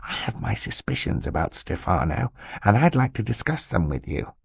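An excitable older uncle in an audiobook. His delivery is jovial, emphatic and theatrical, leaping well above a normal speaking pitch and swinging widely, with a self-important streak. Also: normal speed, no echo, studio quality. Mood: suspicious. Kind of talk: audiobook character voice.

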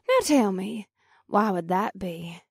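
A woman's voice: medium pitch, sing-song, slow pace, vocal fry, slightly rough, dry recording, studio quality, exaggerated voice. A woman playing a flirtatious, taunting supervillain in an audiobook, with a teasing, coy manner. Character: flirtatious, taunting female supervillain with mind control. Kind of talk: dramatized audiobook character acting.

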